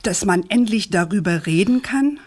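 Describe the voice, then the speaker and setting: An actress playing an earnest, persistent journalist in a radio crime drama, speaking articulately and insistently with moral indignation. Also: female voice, medium pitch, expressive, normal speed, darkish tone, breathy edge. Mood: indignant, pointed.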